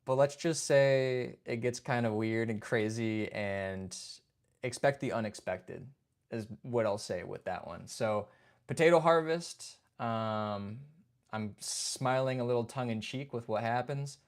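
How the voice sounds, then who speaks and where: A man's voice: medium pitch, unhurried. A young man hosting a casual livestream chat. He reads viewer comments, greets people by name and keeps saying "man" and "dude". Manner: laid-back, chatty, says "man" and "dude". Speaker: livestream host.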